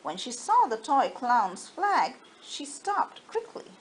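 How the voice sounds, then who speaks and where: Female storyteller for children's read-aloud: high, very animated, slow delivery, faint noise behind. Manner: expressive, dramatized.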